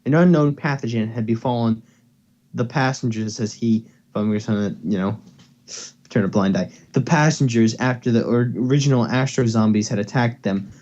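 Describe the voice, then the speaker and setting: A man's voice: medium pitch, moderate pace, a little mellow, muffled recording. A young man giving a casual amateur reading of a story, playful and stumbling, with offhand asides. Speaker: reader. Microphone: headset or desktop mic on voice call.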